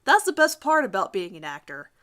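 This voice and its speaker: Woman playing a smug teenage charmer, lively and bright. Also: medium pitch, fairly quick. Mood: smug, calculating. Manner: self-assured, formal wording. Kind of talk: dramatic reading of first-person fan fiction.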